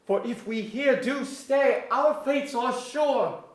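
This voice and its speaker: Man acting an anxious high-voiced king, echoey hall. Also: takes it slowly. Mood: urgent, afraid. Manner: formal archaic verse delivery. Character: anxious, devoted king, brooding and fearful. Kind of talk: staged reading of mock-Shakespearean verse drama. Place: indoor auditorium stage. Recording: echoey auditorium.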